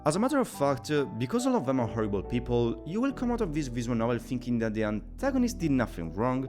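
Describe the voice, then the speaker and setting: A man's voice: mid-range voice, very animated, quick pace. A man narrating a scripted video game review, giving his opinions in an explanatory way. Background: background music.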